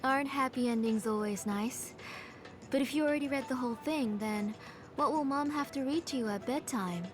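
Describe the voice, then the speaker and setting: An actress playing a gentle, caring mother in a video game. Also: high voice, moderate pace, over music, lightly acted. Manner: warm, motherly. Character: gentle caring mother, former name engraver.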